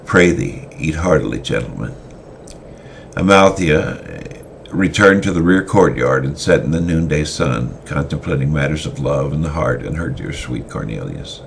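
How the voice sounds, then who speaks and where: Very deep gravelly man reading a story. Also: a little hiss or hum. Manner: halting, with fillers and restarts. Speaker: storyteller reading his own tale. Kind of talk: semi-dramatic reading.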